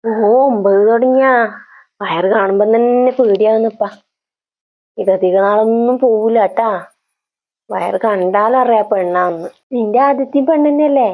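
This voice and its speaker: A woman's voice: a little high, moderate pace, phone-quality sound, natural voice. A woman playing a tactless, complaining visiting village aunt in a comedy sketch, with a Kannur accent. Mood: smug, tactless. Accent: Kannur, north Kerala. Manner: backhanded, complaining.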